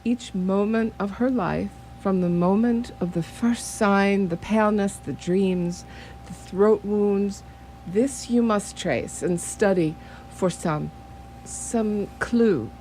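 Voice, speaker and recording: A performer playing a grave, concerned foreign professor in a radio play, deliberate and using inverted word order. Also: mid-range voice, darkish tone, slight background noise, rough recording. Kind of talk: radio theatre acting.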